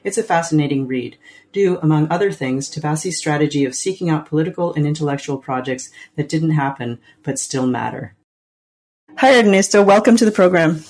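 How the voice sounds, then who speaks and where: A woman's voice: low-pitched, animated, darkish tone. Articulate woman hosting a book interview. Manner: measured, articulate questioning. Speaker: interview host. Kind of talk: scripted book introduction and interview questions.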